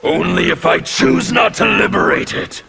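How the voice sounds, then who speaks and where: An actor playing a menacing male revolutionary zealot in a fighting game, with a gravelly, snarling, theatrical delivery. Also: high-pitched, animated, normal speed, pushed and bright, exaggerated voice. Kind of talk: fighting game intro dialogue.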